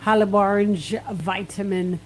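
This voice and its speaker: A woman's voice: medium pitch, fairly even intonation, a little slow. A woman co-hosting casual radio banter, chiming in with short interjections. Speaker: radio show co-host. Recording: noticeable background noise and hum.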